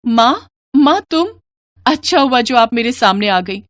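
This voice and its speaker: A woman's voice: a little high, animated, a little slow, dry recording, voice pushed up. A woman voicing a timid, humble young bride in a moral story, earnest and fretful in manner. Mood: astonished, fretful.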